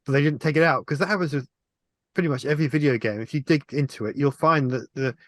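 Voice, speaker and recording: A man with a slightly high voice talks casually as a review panelist. The sound is dry and close, with no room to it, as on an indoor video call. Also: quick pace, a bit bright, dry recording.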